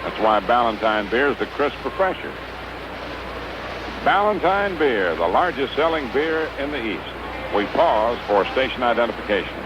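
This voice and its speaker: A noisy, muffled old radio broadcast carries an American man's baseball commentary. His voice sits at an average male pitch, and he speaks in measured, clipped phrases in a 1950s announcing style. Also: big pitch swings. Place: ballpark broadcast booth.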